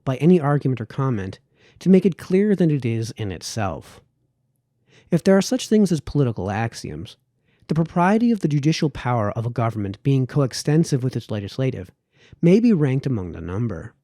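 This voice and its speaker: A man reading a formal essay aloud as an audiobook, with measured, emphatic phrasing that falls away at sentence ends. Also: mid-range voice, warm tone, dry recording, clean studio sound. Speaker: amateur audiobook reader.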